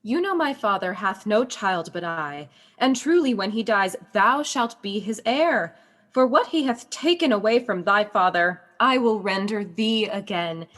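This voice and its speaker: A woman's voice: medium pitch, animated, unhurried, a bit bright. A woman playing a young, loyal, witty princess in a Shakespearean radio play. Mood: coaxing, tender. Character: young princess, loyal, bright-witted cousin.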